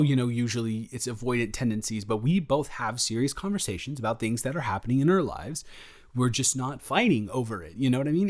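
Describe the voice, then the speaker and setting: A young man playing an affectionate, excitable, goofy boyfriend in an improvised roleplay audio, chatting casually and effusively in rambling, slangy, stumbling speech. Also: mid-range voice, close to an everyday voice.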